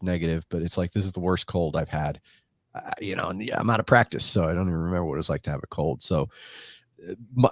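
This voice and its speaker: A man's voice: deep voice, no echo, clean studio sound. A man hosting a live podcast with a congested, stuffy voice from a head cold, talking in a chatty, rambling way. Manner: chatty, rambling, self-interrupting. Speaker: podcast host. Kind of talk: casual live podcast chat. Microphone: desk microphone on a live stream.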